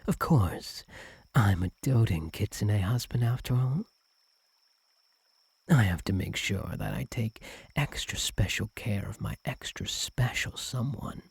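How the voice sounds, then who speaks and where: A man voicing a doting, possessive fox-spirit husband in an intimate ASMR roleplay, soft and breathy, often slipping into whispers, tender and clingy. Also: on the low side, animated, measured pace, dry recording, full character voice. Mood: adoring, confessional. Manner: tender, clingy, hesitant, lingering on words.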